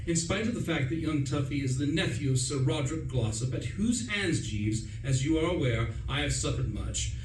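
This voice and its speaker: A man playing a chatty, pompous young English gentleman who narrates a comic radio play in a breezy, wordy way. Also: fairly high pitch, lightly acted. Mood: indignant. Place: live stage performance in a room. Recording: roomy, distant mic, faint noise.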